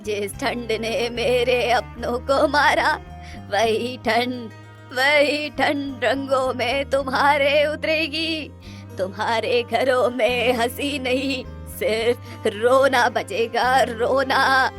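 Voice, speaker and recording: A woman performs a bitter old witch from a cartoon, hurling curses. The words come very slowly and with menace, stretched out for dread, over faint music. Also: fairly high pitch, a bit bright. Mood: menacing, vengeful. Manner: slow, menacing, drawn-out pronouncements. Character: vengeful old village witch laying a curse. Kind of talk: melodramatic Hindi cartoon villain acting.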